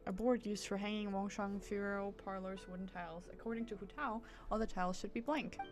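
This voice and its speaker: A woman's voice: medium pitch, fairly even intonation, a little fast. A woman streamer giving casual, reactive game commentary with wry, sarcastic asides. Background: game music.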